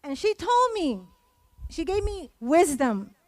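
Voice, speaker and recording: High-pitched woman speaking in public. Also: big pitch swings, takes it slowly, no room sound. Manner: emphatic, repeats phrases for stress. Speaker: community member giving testimony. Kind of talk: impassioned public speech at a memorial event.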